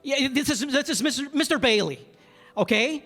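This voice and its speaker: An actor playing a desperate family man at breaking point in a radio drama, halting and stammering as he talks. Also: very high-pitched, animated, sharp tone, exaggerated voice. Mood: agitated, angry. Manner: halting, stammering, repeating words. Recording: echoey hall, stage reverb.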